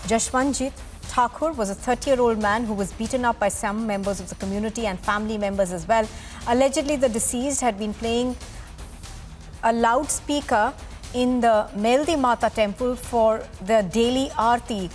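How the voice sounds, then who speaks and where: A woman with an Indian accent anchors a live news bulletin. Her voice is on the high side and her phrasing is emphatic and deliberate. Faint music plays behind her.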